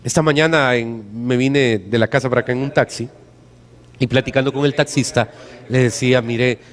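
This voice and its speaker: A man's voice: medium pitch, fairly even intonation, normal speed. A man from El Salvador with a Salvadoran accent preaches conversationally as a pastor, colloquial and emphatic, using anecdotes and rhetorical questions. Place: church hall during a service. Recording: reverberant hall sound.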